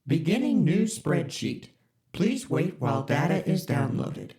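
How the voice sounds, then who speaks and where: Deadpan corporate ship AI. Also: medium pitch, unhurried. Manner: flat, polite, bureaucratic. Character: deadpan corporate spaceship computer AI. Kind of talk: audio drama.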